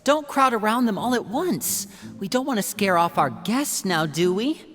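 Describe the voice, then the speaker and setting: A woman voicing a charming young man in a fan dub; he is polite, gently teasing and hospitable toward guests. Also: medium pitch, expressive, normal speed, soft background music, lightly acted. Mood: explaining. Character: charming young man, a smooth big brother.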